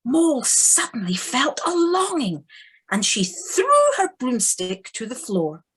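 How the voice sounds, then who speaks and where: A woman's voice: fairly high pitch, big pitch swings, a little slow, slightly rough. A woman narrating a children's story dramatically, as in a storybook audio play. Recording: home video-call recording.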